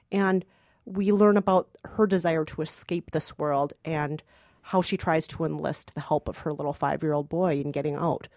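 Emphatic lowish-voiced woman reviewing films. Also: darkish tone, no echo, clean studio sound. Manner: emphatic, enthusiastic. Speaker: podcast co-host and film critic. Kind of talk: conversational film review.

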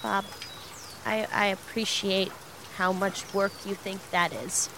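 A woman playing a self-sacrificing, passive-aggressive scout in an improvised sci-fi comedy, halting and nervously over-polite. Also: mid-range voice, steady tone, background noise. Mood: strained politeness. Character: put-upon female scout, self-sacrificing, passive-aggressive, on a space crew.

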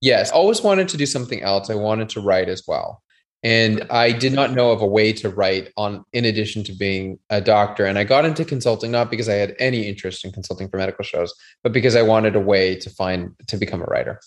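A man in his thirties from Canada, chatting as a guest in a podcast interview. His voice sits a little low. He talks in a measured, unhurried way and hedges his points with qualifiers. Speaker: guest, doctor and TV writer. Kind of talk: casual interview chat.

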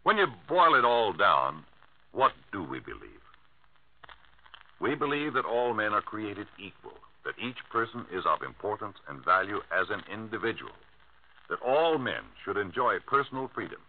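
A man's voice: fairly low pitch, sing-song, normal speed. An American man announcing in solemn, declamatory 1950s radio style, with emphatic public-service oratory. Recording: muffled vintage radio broadcast.

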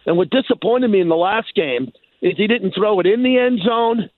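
A man from New York in animated sports talk as a radio guest, speaking emphatically and repeating phrases for effect. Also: sits high, normal speed. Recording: phone-like thin band.

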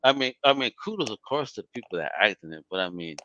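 A man's voice: fairly low pitch, big pitch swings, fast talker, sharp tone. A man hosting a podcast, talking in a rambling, slangy way and hyping up his listeners. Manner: slangy, rambling, hypes the audience. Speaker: podcast host. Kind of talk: casual podcast interview. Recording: dry, slightly muffled mic.

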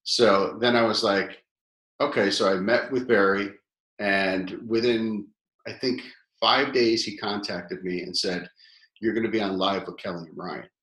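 A man in his fifties with a very low voice talks in a loose, animated way, piling on fillers as he tells his stories. His voice carries a noticeable room echo. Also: a little slow. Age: 50s. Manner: animated, rambling storyteller with many fillers. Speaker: guest, toy company founder. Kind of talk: casual interview storytelling. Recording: echoey room.

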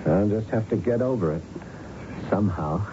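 Guilt-ridden brooder played by a man, halting and repetitive, lowish swinging pitch, old radio drama. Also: animated, heavy background noise, lightly acted. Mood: haunted. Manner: halting, brooding, repeats himself. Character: guilt-ridden man haunted by a policeman's killing. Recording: muffled vintage radio, loud music behind.

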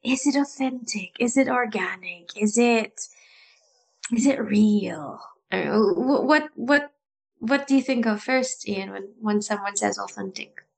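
A woman co-hosting a casual talk about improv, animated and often restarting her sentences with a laugh. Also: mid-range voice, a little slow, gravelly. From Philippines. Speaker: improv podcast co-host. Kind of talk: casual chat.

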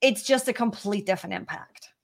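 A woman hosting an interview, speaking English as a second language, animated and talking with sarcastic humor. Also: mid-range voice, brisk. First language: not English. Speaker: podcast host. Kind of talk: conversational interview.

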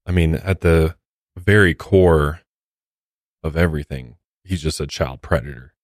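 Very deep male voice, dry recording. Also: measured pace, dry recording. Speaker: podcast co-host. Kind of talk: casual opinionated commentary.

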